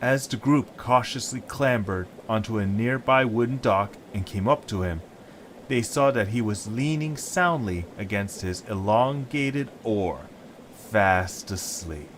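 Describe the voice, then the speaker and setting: A man's voice: fairly low pitch, unhurried, slight background noise. A man narrating a scripted story as its storyteller.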